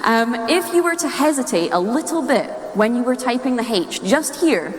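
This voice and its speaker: A higher-voiced Scottish woman delivers a playful, nerdy talk in front of an audience. Her voice rings out in a very echoey hall with long reverb. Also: expressive, normal speed. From Scotland. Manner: playful, chuckles at own wordplay. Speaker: speaker giving a talk. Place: large indoor room or hall, live talk.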